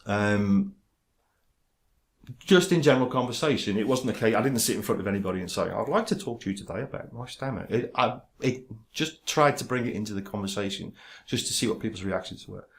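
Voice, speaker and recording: Male, low-pitched; halting delivery with stammer control, frequent fillers and restarts. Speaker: vlogger talking about his stammer. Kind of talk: casual direct-to-camera talk.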